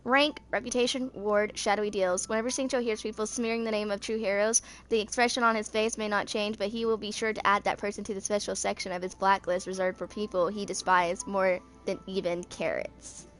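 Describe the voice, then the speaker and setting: A woman's voice: mid-range voice, little pitch movement, brisk, hardly any pauses, fairly bright tone, soft background music. A woman in her twenties reading story lore aloud in an amateur narration, stumbling now and then over words. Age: 20s. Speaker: video creator reading game character lore.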